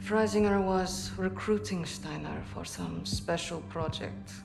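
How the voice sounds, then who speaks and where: An actor playing a guarded captive Allied operative in a war video game, talking in an offhand way. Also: male voice, sits high, measured pace, gravelly. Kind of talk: dramatic video game dialogue. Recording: very loud music behind.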